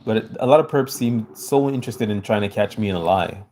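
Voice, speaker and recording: Man, low voice, French native, casual livestream host, rambling with fillers, noisy recording. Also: fairly quick, a little mellow. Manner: loose, rambling, fillers like "you know". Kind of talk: casual livestream talk, reacting to chat.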